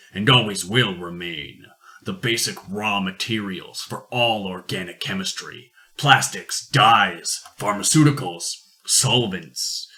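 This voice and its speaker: A man playing a rich, sardonic industrialist in a radio play, measured, formal and a little pompous. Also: low voice, bright, forceful tone. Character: rich, worldly, sardonic industrialist, booming and indulgent. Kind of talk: amateur radio play acting.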